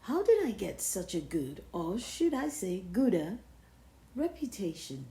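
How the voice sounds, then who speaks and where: A woman's voice: medium pitch, big pitch swings, measured pace, warm tone. A woman voicing a pompous, showy big cheese in a theatrical picture-book read-aloud. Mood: smug, showy. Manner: pompous, theatrical, self-admiring. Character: boastful, showy big cheese craving the spotlight. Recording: roomy, echoing sound.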